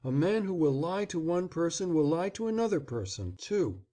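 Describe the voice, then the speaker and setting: A man's voice: sing-song, a little slow, warm tone, full character voice. A man reading aloud, voicing a defiant, resentful young boy who speaks coldly and insistently. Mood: coaxing. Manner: cold and insistent, low intensity.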